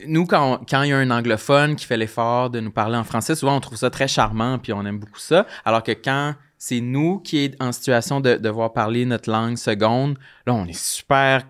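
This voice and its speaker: A man, co-hosting a casual podcast and explaining things calmly. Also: mid-range voice, fairly quick, hardly any pauses, smooth.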